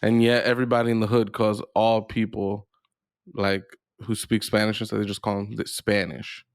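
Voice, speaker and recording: A man with a fairly low voice and some fry talks casually as a podcast host. He feels his way through thoughts haltingly, and the sound is close and dry. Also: monotone, dry recording. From United States.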